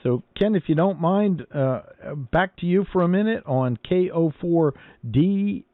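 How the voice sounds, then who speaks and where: A man's voice: sits high, lively intonation, unhurried, dry recording. An American man hosting an amateur radio net in a conversational, appreciative and polite way. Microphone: internet-linked ham radio transmission. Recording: narrow phone-like radio link audio.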